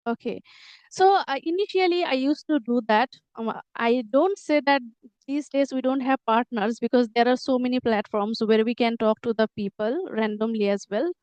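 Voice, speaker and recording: A woman's voice: a little high, moderate pace, darkish tone, smooth, dry recording. A middle-aged woman, explaining earnestly as a teacher and repeating herself to make her points. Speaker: English teacher. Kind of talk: conversational English lesson dialogue.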